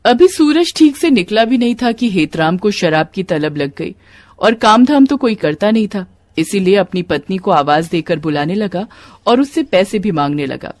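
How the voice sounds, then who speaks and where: A woman's voice: mid-range voice, expressive, moderate pace, darkish tone. A woman narrating a scripted devotional story as a storyteller.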